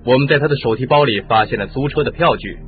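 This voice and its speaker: Male actor as police inspector, sceptical, questioning, mid-pitched, radio mystery, phone-like muffled recording. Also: quick pace, natural voice. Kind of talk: Chinese radio mystery drama acting. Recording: muffled lo-fi radio drama, music behind.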